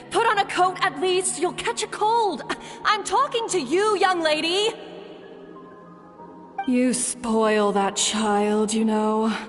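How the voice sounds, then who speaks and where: An actress voicing a strict, worried mother in a game, scolding and fussing. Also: high voice. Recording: game audio with long reverb and music.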